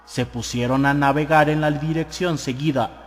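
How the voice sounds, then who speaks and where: A man's voice: on the high side, fairly even intonation, normal speed. A man narrating an audiobook, reading out a newspaper report in a dramatized style. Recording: long hall reverb, music behind. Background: music.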